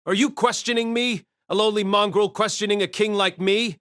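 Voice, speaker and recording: A man's voice: high-pitched, steady tone, moderate pace, no room sound, clean studio sound. An actor playing an arrogant, contemptuous king who scorns everyone around him, in an audio drama. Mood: scornful. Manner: scornful, imperious, shouting insults.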